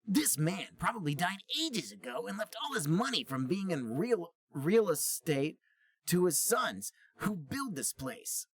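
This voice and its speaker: A brash cartoon hedgehog hero, acted out by a man, pitched well above everyday talk. The delivery is declaiming and exclamatory, determined and heroic, in a mock-dramatic storybook reading. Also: sing-song, measured pace, slightly rough, heavily acted. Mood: musing.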